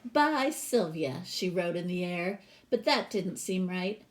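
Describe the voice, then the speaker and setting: A woman reading a children's picture book aloud like a teacher, animated and stopping for asides and questions to young listeners. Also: lowish voice, unhurried. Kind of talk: expressive storybook read-aloud with asides to children.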